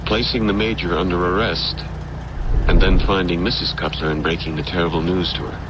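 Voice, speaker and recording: An actor playing a stern, methodical Secret Service investigator in an old-time radio drama, with a firm, questioning manner. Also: male voice, low-pitched, steady tone, brisk, lightly acted. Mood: firm, grim. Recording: radio drama under loud truck cab noise. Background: loud truck engine and road noise.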